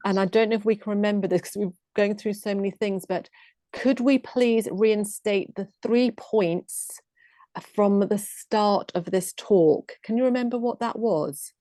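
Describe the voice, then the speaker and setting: Female host, mid-pitched, eager and affirming, dry close sound on a video call. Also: a little slow, mellow, no room sound. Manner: eager, affirming, frequent "yes" and "yeah". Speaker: interview host. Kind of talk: casual interview questions.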